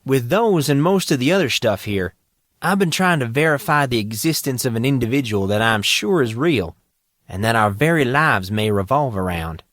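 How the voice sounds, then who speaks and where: A man voicing a calm, eccentric teenage boy genius in an audiobook, cheerful and cryptic in an assured way. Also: mid-range voice, moderate pace, dry recording, clean studio sound, lightly acted. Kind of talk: audiobook character dialogue.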